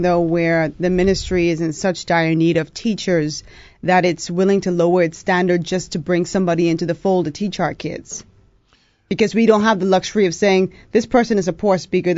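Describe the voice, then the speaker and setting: Man, radio co-host, from the bahamas, high-pitched voice, quick-witted, dull lo-fi sound. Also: a little mellow, no echo. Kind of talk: live talk radio chat.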